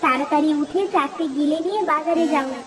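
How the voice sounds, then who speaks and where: A woman voicing a bossy, scolding village housewife in an animated fairy tale, nagging and sharp-tongued. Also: sits high, fast talker, far from the mic, slight background noise, lightly acted.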